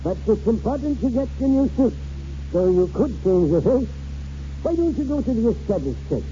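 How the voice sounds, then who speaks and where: A man's voice: very high-pitched, big pitch swings, moderate pace, mellow, slight background noise. An actor playing a wry, stubborn and protective old grandfather in 1940s radio drama. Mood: wry, fond. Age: older. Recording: muffled 1940s radio broadcast with music.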